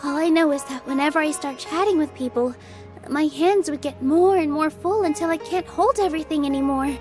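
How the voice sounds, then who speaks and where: A woman playing a gentle, modest young theater dancer in a video game, with a polite, friendly manner. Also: sits high, fairly quick, close to an everyday voice. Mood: cheerful, flustered. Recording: game dialogue over clear background music. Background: music.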